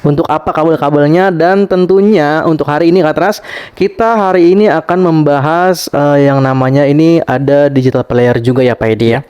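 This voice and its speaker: An Indonesian-accented man hosting a casual interview, eager, quickly agreeing and confirming. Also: on the high side, fairly even intonation, a little mellow, clean tone, lo-fi sound. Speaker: channel host.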